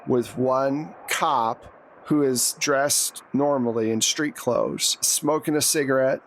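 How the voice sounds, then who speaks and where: A man's voice: mid-range voice, fairly even intonation, takes it slowly, a little hiss or hum, poor audio. A man acting as game master, narrating scenes to players in a conversational way and asking them for rolls. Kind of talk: narrating a tabletop roleplaying game.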